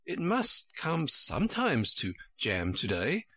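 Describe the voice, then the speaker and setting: A man voicing Alice, a polite and timid young girl, in a gently raised voice. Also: fairly high pitch, huge pitch swings, takes it slowly, dry recording, pitched above the performer's own voice, heavily acted.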